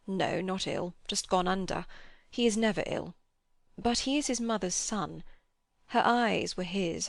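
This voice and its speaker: A woman reads a grieving old father in an audiobook, speaking slowly and quietly. The voice sits low with a gravelly fry. The sound is close and dry. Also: no echo. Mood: sorrowful. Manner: slow, quiet, heavy.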